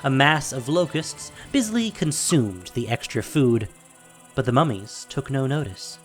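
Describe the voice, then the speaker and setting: A male narrator reads a scripted fantasy story dramatically. His medium-pitched voice moves with animated intonation. Faint music plays beneath the narration. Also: unhurried.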